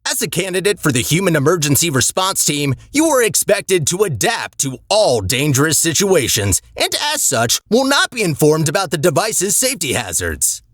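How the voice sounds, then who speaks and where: An actor voicing a calm, formal automated announcer in a video game, reading test instructions in a detached, officious manner. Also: mid-range voice, moderate pace, hardly any pauses, dry recording, clean studio sound. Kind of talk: scripted deadpan public-address announcements.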